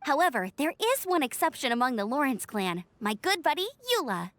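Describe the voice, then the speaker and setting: A woman's voice: sits high, big pitch swings, a little fast, no room sound. A woman playing a perky, upbeat young scout in a video game, friendly and encouraging. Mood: friendly, explaining. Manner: bright-spirited, encouraging, friendly. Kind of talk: scripted video game character acting.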